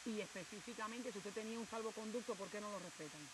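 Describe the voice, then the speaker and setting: A woman hosting a television interview, empathetic and quick to interject. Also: medium pitch. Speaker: TV host. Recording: hissy TV broadcast audio.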